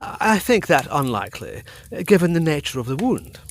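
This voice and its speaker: An earnest Edwardian physician in a gothic audio drama. His voice sits fairly high and swings widely in pitch. He speaks frankly and with authority, in formal, emphatic phrases. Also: big pitch swings, slight background noise. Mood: grave, assured. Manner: formal, emphatic, deliberate diction.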